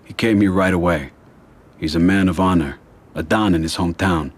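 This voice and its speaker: Low, husky American mafia boss. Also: male voice, moderate pace. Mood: firm, commanding. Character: cold, controlled mafia boss, quietly menacing. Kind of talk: dramatic video game acting.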